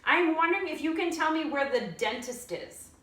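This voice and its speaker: Woman voicing an earnest, precocious girl, animated and echoey. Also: high voice, moderate pace, voice pushed up. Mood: earnest polite. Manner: formal, grown-up wording. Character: earnest, stubborn young girl, precociously formal. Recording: roomy echo, distant mic.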